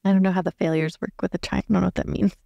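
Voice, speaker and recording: A woman's voice: on the low side, fairly even intonation, rapid-fire, creaky, warm tone, no room sound, highs cut off. A giggly, informal woman chatting casually as a player in a tabletop story game. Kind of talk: casual game chat and improvised roleplay. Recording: dry, faint music behind.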